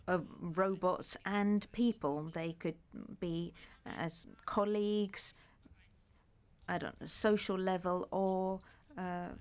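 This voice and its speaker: A woman interpreting a conference speech into English, measured and often pausing with hesitations. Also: medium pitch, a little mellow.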